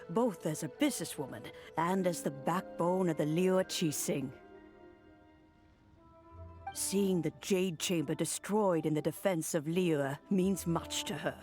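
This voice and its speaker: An actor voicing a smooth, courteous gentleman mediator in a game cutscene. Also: fairly high pitch. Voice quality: suave, courteous.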